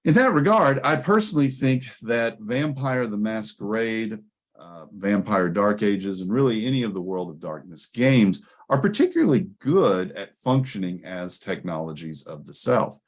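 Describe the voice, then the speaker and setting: A man giving a scholarly conference presentation, explaining ideas in a hesitant, pausing way. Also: fairly low pitch, animated. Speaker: academic presenter.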